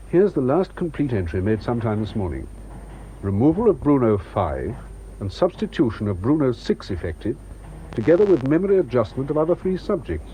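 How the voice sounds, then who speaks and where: Actor doing a clipped skeptical army officer, mellow voice, swings up and down, radio play. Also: male voice, medium pitch, a little hiss or hum, lightly acted, poor audio. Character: skeptical, businesslike male military officer reading files. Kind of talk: radio drama acting.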